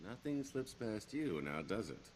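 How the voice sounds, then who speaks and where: Video game rogue: wry, scheming career criminal, middle-aged, theatrical and wheedling, low-pitched with very lively inflection. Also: male voice, unhurried, slight background noise. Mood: sly, persuasive. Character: roguish male career criminal, wry, boastful, scheming veteran.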